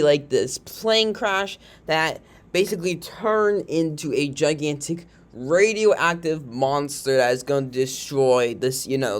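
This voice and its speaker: A video host giving casual, rambling commentary on character matchups, often checking in with the listener after making a point. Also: mid-range voice. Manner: rambling, informal, frequently tags statements with a questioning check.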